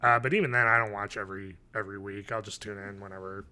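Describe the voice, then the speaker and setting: A man's voice: on the low side, fairly even intonation, fast talker, pushed and bright. A man in his thirties co-hosting a podcast from home, chatting casually in a dry, rambling way. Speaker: podcast co-host. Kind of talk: casual chat. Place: home living room, video call.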